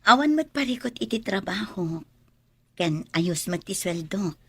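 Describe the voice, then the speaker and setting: A woman playing a caring, gentle woman of a humble family in an Ilocano radio drama, husky and tender. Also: mid-range voice, lively intonation, unhurried, no room sound. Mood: subdued, grateful.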